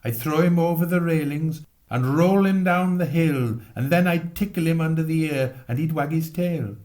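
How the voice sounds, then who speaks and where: A man voicing an excitable, imaginative young boy in a storybook, eager and animated. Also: a little high, moderate pace, voice pushed up, close to an everyday voice. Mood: playful excitement. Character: excitable, imaginative young boys plotting mischief.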